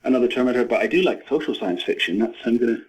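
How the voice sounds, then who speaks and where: A man in his forties giving a thoughtful interview, speaking haltingly with repeated words and many verbal hedges. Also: medium pitch, animated, husky, poor audio. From Scotland. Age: 40s. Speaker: interview guest, novelist and screenwriter.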